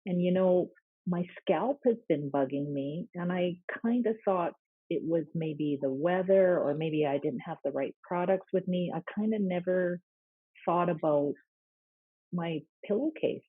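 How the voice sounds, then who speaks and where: A woman's voice: lowish voice, measured pace, husky, dry recording. A woman joining a video call, talking thoughtfully with hesitant restarts. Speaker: call-in participant. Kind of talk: casual chat. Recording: tinny, dry phone-like call audio.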